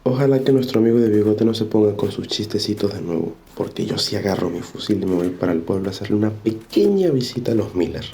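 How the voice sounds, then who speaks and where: A man's voice: lowish voice, lively intonation, fast talker, gravelly, few pauses, warm tone, a little hiss or hum. A man playing a hot-headed, resentful young soldier in an amateur audio drama, indignant and defiant.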